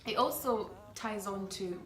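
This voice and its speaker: Young woman talking in halting restarts. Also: mid-range voice. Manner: halting, many restarts and "sort of", "like". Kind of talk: casual chat. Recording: echoey room.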